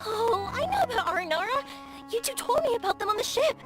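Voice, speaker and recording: An actress playing a bubbly, excitable young woman traveler in an anime-style game, gushing and eager. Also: extremely high pitch, fast talker. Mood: delighted. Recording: music playing behind the voice.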